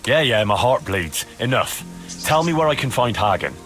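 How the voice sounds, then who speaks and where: A man's voice: medium pitch, big pitch swings, moderate pace, fairly bright tone, faint music behind. A man playing a hard-nosed young medieval man-at-arms in a video game, blunt, sarcastic and pressing. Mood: scornful, angry. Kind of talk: video game cutscene acting.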